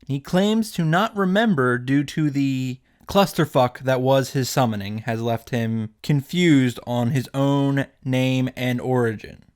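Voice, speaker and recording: A man's voice: mid-range voice, clean tone. Profane, exaggerated man recapping a story. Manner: profane, exaggerated, mocking retelling. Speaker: video host reacting to and summarizing a visual novel. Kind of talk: casual, scripted comedic recap narration.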